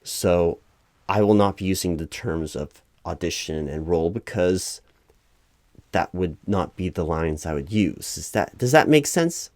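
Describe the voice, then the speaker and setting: A man playing a recruiting manager in a soft-of-script, improvised ASMR interview roleplay, speaking aloud in a halting, officious, explanatory way. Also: deep voice, animated, no room sound. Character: flustered, officious male recruiting manager at a company. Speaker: job interviewer in a one-sided roleplay.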